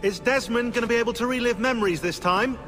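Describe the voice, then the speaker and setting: An actor playing a sarcastic, pedantic researcher in a video game, halting as he thinks aloud. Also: extremely high pitch, a bit bright. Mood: sardonic. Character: fussy, sarcastic British history researcher. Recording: echoey hall, music behind.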